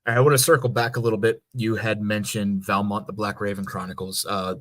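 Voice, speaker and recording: A man co-hosting a podcast, chatting casually in a conversational, halting but emphatic way. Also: on the low side, little pitch movement, a bit bright. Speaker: podcast co-host and amateur voice actor.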